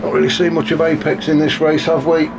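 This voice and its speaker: A man commentating a sim race in a chatty, wry way, his voice a bit gravelly and snuffly from a cold. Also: fairly high pitch, level tone, brisk. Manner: chatty and wry, many fillers. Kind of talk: live sim racing commentary. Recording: noisy with car engine sounds behind.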